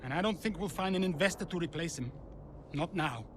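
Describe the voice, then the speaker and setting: A man's voice: a little high, brisk, a little hiss or hum, natural voice. A man playing a worried, grave racing team boss in a video game cutscene, speaking in a pointed, serious way.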